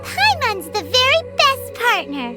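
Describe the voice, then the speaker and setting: A woman's voice: very high-pitched, huge pitch swings, measured pace, heavily acted. A woman voicing a tiny, chirpy, cheeky childlike fairy companion in a video game. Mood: eager. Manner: refers to self by name, chirpy and childish. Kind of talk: video game character acting. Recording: game dialogue over loud music.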